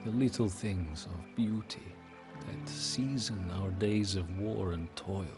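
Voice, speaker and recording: An actor playing a solemn, grieving widowed medieval king, narrating his story in a game cutscene with grave, measured pauses. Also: sits low, music in the background. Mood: tender, reflective. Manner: grave, measured, pausing, often breathy at phrase ends. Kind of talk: dramatic first-person storybook game narration.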